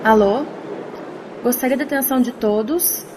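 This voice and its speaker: A woman's voice: fairly high pitch, normal speed, background noise, lightly acted. A woman playing a stately, impatient alien president in an audio drama, with formal, commanding speech. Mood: ceremonial. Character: stately, impatient female alien president addressing her people. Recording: long hall reverb.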